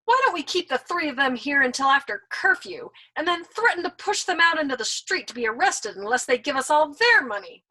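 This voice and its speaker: A woman voicing a smug, pudgy young male airman who boasts loudly. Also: sits high, big pitch swings, fairly quick, few pauses, pitched above the performer's own voice, exaggerated voice. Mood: boastful.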